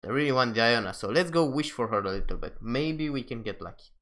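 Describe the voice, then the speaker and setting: A man's voice: medium pitch, animated, brisk, fairly bright tone. A man giving casual, off-the-cuff commentary as he plays a video game. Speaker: gaming video host.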